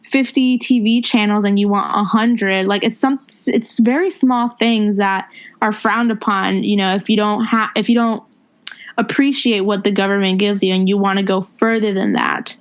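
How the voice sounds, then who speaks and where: A woman guest on a podcast, chatting in a relaxed, casual way with plenty of fillers. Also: mid-range voice, normal speed. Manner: relaxed, filler-heavy talk. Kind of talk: casual conversation. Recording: dull lo-fi audio.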